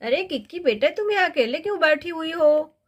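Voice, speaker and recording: A woman voicing a kindly, gently chiding father in a children's cartoon story. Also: very high voice, lively intonation, moderate pace, lo-fi sound.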